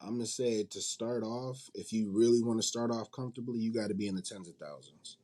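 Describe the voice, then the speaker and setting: A man's voice: on the low side, a little fast, dark tone. A man speaking informally as an interview guest, colloquial and repeating points for emphasis. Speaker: guest, indie manga publisher and company CEO. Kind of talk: casual interview chat.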